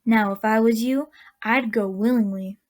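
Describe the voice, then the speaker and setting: A kindly old woman of a magical storybook land, played by an actress, speaks in a high voice. She is gentle and folksy, giving unhurried advice as in a radio drama. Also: fairly even intonation, close to an everyday voice. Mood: gently persuasive.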